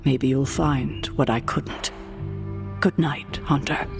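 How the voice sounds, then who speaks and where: Game role: an aging abbey caretaker, stern female mentor, measured and grave, heard over prominent music. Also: very deep voice, fairly even intonation, gravelly, full character voice. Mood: sorrowful. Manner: measured, solemn. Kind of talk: video game voice acting.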